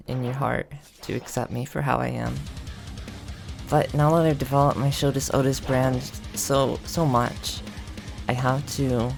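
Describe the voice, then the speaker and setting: Gravelly deadpan man doing a mock confession. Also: mid-range voice. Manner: deadpan, mock-earnest, halting. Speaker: gaming video creator. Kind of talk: mock confession comedy monologue.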